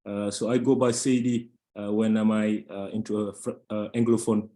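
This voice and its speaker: A man's voice: lowish voice, flat delivery, dark tone. A man from Senegal speaking English with a Senegalese French accent, his first language being French, introducing himself on a panel over a phone, with many hesitations. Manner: halting, many uh fillers. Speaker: panelist, social entrepreneur.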